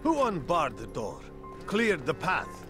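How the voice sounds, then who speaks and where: An actor playing a self-righteous slaver villain in a video game, grandiose, taunting and condescending. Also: male voice, a little high, huge pitch swings, slow, over music, exaggerated voice. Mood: taunting, grand. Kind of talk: video game cutscene acting.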